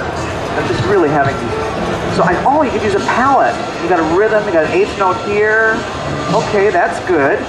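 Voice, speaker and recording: Chatty high-voiced man demoing in a hall. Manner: chatty, self-deprecating, joking asides. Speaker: software product specialist. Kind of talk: live trade-show clinic demo. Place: large convention hall. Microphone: handheld mic. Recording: echoey hall with music behind.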